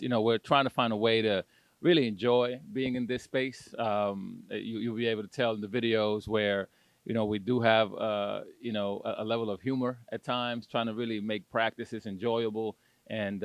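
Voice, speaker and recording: A middle-aged man, a head basketball coach, answering interview questions in a halting way with many fillers and restarts. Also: lowish voice, clean tone, dry recording.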